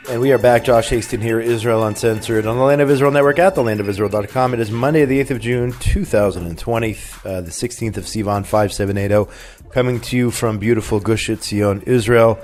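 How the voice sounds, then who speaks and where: A man's voice: mid-range voice, a little fast, darkish tone, soft background music. A man with a cold hosting a talk radio show, chatting casually and reading the news with plenty of fillers and restarts. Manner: conversational, opinionated, with many fillers and restarts. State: has a cold.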